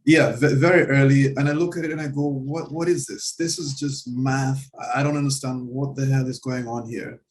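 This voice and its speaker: A man's voice: medium pitch, fairly even intonation, moderate pace, hardly any pauses. A man interviewing a guest in a casual conversation, backing him with frequent "mm-hmm" and restarting his questions as he goes.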